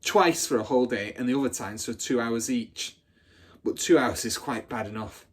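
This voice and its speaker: A rugged, husky-edged ten-year-old bully girl played by a man in a children's storybook. She is scornful and boastful, and relishes every grisly detail with dramatic emphasis. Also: mid-range voice, normal speed, natural voice.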